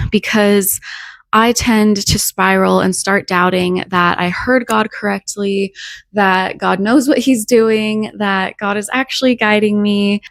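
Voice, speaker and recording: A young woman with a scratchy, hoarse voice from a cold, talking earnestly and reflectively about faith in a casual vlog. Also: mid-range voice, no echo, studio quality. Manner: earnest, reflective, with soft laughs. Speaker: faith vlogger. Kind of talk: casual devotional talk to camera.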